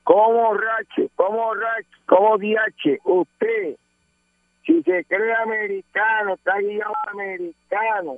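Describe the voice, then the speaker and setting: High-voiced confrontational caller on phone. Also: male voice, fairly even intonation, unhurried, no room sound. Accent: Caribbean Spanish. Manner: confrontational, emphatic. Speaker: radio call-in listener. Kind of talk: casual phone-in complaint. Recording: tinny telephone line.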